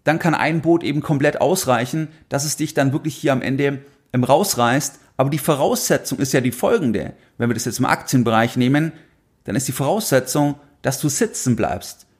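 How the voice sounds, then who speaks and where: A man's voice: medium pitch, normal speed. A man hosting a finance podcast, explaining in a monologue and repeating phrases to drive points home.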